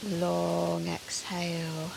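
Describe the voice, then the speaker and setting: A woman yoga teacher leading a guided meditation in a soothing, measured, gentle manner with long pauses. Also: lowish voice, monotone, vocal fry. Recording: soft hiss of rain noise. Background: soft rain sound.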